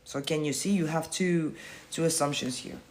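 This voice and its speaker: A man's voice: on the high side, steady tone, normal speed, slight background noise. Blunt, casual man on a livestream. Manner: blunt and casual, swears freely; explains by repeating himself. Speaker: manifestation coach. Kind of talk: livestream Q&A, reading viewer comments.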